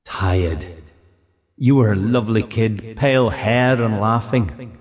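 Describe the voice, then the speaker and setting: A man's voice: on the low side, takes it slowly, a crowd in the background, voice pushed up. A man voicing a weary, bitter policeman in an audiobook, sardonic and full of self-pity. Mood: broken, self-pitying. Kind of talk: dramatised audiobook dialogue.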